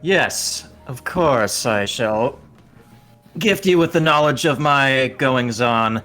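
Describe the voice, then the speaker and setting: A sardonic, scheming villain from a tabletop game, acted by a man. He speaks slowly in a middle register with theatrical condescension. Soft music plays behind him. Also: medium pitch. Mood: gloating, grandiose. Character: smug, sardonic scheming villain who monologues his plans.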